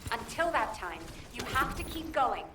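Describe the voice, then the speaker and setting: A woman playing an earnest, urgent military operator in a video game, giving crisp mission reports. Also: a little high, big pitch swings, moderate pace. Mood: firm. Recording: distant, echoey, noisy game audio.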